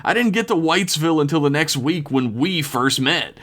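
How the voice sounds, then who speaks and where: A man's voice: medium pitch, sing-song, moderate pace, fairly bright tone. Man playing a scornful, exasperated fighter with big swings. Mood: angry. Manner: bellowing, sarcastic. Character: scornful, foul-mouthed, exasperated male fighter.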